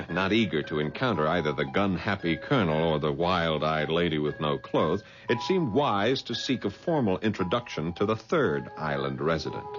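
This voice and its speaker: An actor playing a wry private detective who narrates his own story and turns flustered when startled, in a 1970s radio drama. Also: low-pitched. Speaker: narrator and lead. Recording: dull vintage radio with music.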